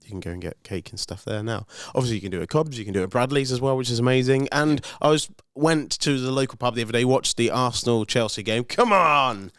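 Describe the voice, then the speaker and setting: A man's voice: mid-range voice, fast, hardly any pauses, fairly bright tone, no room sound, studio quality. A man of about forty, co-hosting a podcast with casual, teasing banter. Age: around 40. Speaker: podcast co-host.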